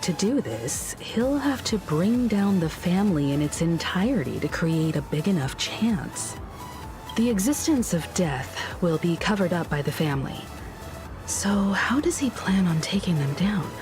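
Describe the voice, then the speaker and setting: An actress playing a calm, analytical woman in a video game story, speaking in a measured, deliberate way. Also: lowish voice. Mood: analytical, cautious. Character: calm, analytical adult woman, cautious crew member. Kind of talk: scripted game story dialogue. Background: background music.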